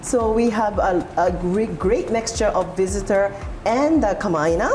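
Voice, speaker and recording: A middle-aged woman from Japan, a hotel executive, answering interview questions in a steady, measured way. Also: medium pitch, faint music behind. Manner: steady, measured, frequent "you know". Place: hotel lounge indoors.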